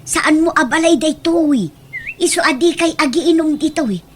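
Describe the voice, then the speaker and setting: An exasperated, nagging mother acted by a woman, high in pitch and Ilocano in accent. She scolds and exclaims in comedy radio drama style, over a noisy recording. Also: normal speed, close to an everyday voice. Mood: scolding.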